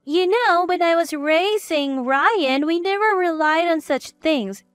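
A woman's voice: extremely high pitch, animated, unhurried, dry recording, pitched above the performer's own voice. A woman voicing a critical, meddling, old-fashioned grandmother in a scolding, judgmental way within a narrated story. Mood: pushy.

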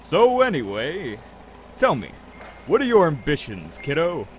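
A man acts out a good-humored male drug dealer in an audio drama. He is talkative and folksy, with a lightly high, very lively voice and a teasing way. Some vehicle noise sits behind him. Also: unhurried.

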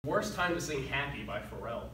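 A man's voice: medium pitch, sing-song, a little fast. Teen boy hosting an improv show. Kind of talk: announcing improv prompts. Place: school classroom. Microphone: far camera. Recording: echoey classroom from far off, loud noise.

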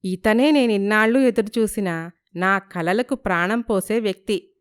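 Casting: woman for forthright young bride, crisp clean tone, hopeful and strong-willed, moral-story dialogue. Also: medium pitch, unhurried, lightly acted. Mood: elated.

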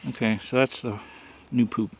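A man's voice: mid-range voice, steady tone, moderate pace, a little hiss or hum. A man narrating casually while filming on a hike in an open grassy field, with the camera's own mic. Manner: chatty, running commentary. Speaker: hiker filming a Bigfoot search. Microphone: handheld camera mic.

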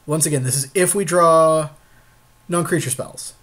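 A man's voice: a little high, normal speed, fairly bright tone. A man co-hosting casual gameplay commentary in an agreeable, conversational way. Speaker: podcast co-host playing a card game.

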